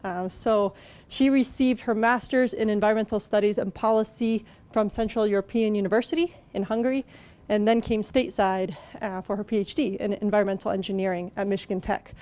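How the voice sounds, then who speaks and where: A woman's voice: medium pitch, fairly even intonation, brisk, dark tone. A woman, a scientist, introduces the next speaker at a public talk in a chatty way full of fillers, using a handheld mic in a store event room. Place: event room at a store with a small seated audience.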